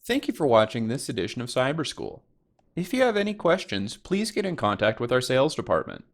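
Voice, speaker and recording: A man's voice: medium pitch, sing-song, fairly quick. A man reading scripted narration as the announcer of a video series.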